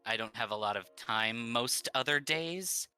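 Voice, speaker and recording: A man's voice: mid-range voice, a little slow, bright, forceful tone, clean tone. A man chatting casually as a guest on a live stream. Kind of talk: casual chat. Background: background music.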